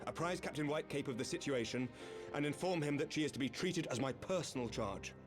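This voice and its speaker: A man's voice: a little high, lively intonation, a little fast, lightly acted. An actor playing a noble knight commander, formal, courteous and composed in his authority. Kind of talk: video game voice acting, dramatic dialogue. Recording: game music under the voice.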